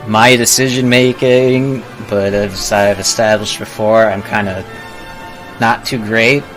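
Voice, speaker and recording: A man's voice: fairly low pitch, fairly even intonation, slow pace, fairly bright tone. A man giving casual, explanatory live commentary as he streams a video game speedrun. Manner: explanatory, self-deprecating. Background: faint game music.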